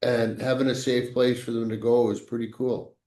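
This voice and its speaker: A man of middle pitch co-hosts the podcast, talking slowly and haltingly while he looks for the right words. His voice carries a noticeable roomy echo. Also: little pitch movement, a little mellow. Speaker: podcast co-host. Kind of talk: casual conversation.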